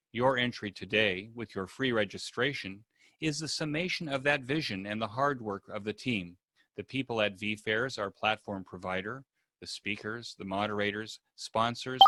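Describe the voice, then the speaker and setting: A man giving a prepared welcome address as an event host, with formal, deliberate phrasing. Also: lowish voice, sharp tone, no echo.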